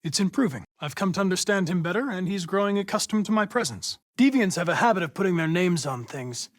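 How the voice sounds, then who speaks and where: A man's voice: sits high, lively intonation, fast, no echo, clean studio sound. A man playing a polite, determined young android police investigator in a video game, with formal, precise, controlled diction. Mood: polite, composed.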